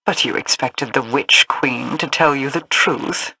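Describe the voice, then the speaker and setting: Bright-voiced, imperious mystical queen. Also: a bass voice, vocal fry, a little hiss or hum, heavily acted, rough recording. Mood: grave, imperious. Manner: formal, measured, imperious. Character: regal, commanding female queen of a mystical people. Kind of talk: video game voice acting.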